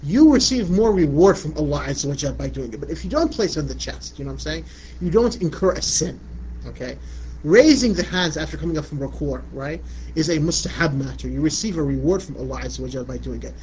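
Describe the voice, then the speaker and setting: A man's voice: fairly high pitch, lively intonation, fast, darkish tone. Man from Iraq lecturing, casual and chatty. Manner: conversational, repeats "you know what I'm saying". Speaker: Islamic lecturer. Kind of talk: religious lecture answering a question. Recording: muffled lo-fi recording with light background noise.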